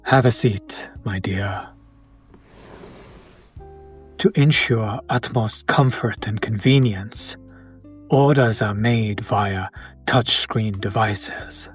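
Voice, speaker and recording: A man's voice: lowish voice, slow pace, soft background music. A man playing a charming, old-world vampire in a romantic audio roleplay, speaking to his beloved in formal, attentive, archaic phrasing. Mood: genteel, admiring. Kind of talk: romantic audio roleplay, intimate scripted acting.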